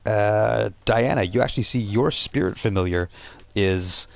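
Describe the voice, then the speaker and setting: Male game master, lowish voice, thinks aloud and improvises haltingly while narrating a tabletop session. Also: creaky.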